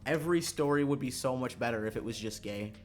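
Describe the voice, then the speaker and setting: A man livestreaming a visual novel, moving between casual, profane, joking chat and reading the game text aloud, quick to laugh. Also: medium pitch. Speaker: streamer playing a visual novel.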